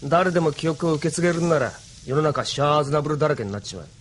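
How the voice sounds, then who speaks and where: An actor playing a gruff, sardonic but loyal male resistance fighter with coarse speech in an anime audio drama. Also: a little high, lively intonation, racing, slight background noise, close to an everyday voice. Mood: matter-of-fact.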